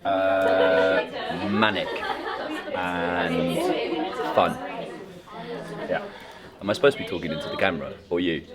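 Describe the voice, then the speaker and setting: A man with a very deep voice answers questions in short, cheerful bursts. Crowd chatter fills a large room behind him. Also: fairly even intonation, measured pace, long pauses, loud music behind the voice. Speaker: journalism student.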